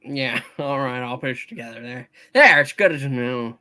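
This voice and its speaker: A man playing a sharp-tempered but loving, weary father in a hammed-up live radio play reading. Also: mid-range voice, lively intonation, normal speed. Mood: tender, soothing. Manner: hammed-up, exasperated, stumbling reading.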